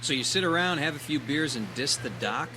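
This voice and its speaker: A man's voice: fairly high pitch, lively intonation, brisk, background noise, close to an everyday voice. A man acting in an audio drama as a jaded, skeptical character with a wry, laconic and casual delivery. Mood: dismissive, wry. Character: jaded, wry, skeptical man who smokes, older than student.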